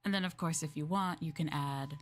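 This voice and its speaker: A woman's voice: low-pitched, brisk. A woman streamer playing a maid in a roleplay, chatting with viewers in a playful, teasing and politely formal way.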